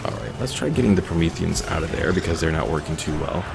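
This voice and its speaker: A man's voice: a bass voice, lively intonation, quick pace, vocal fry. A man doing casual, chatty gameplay commentary as a streamer and reading out viewer chat, with game music behind him. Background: video game music.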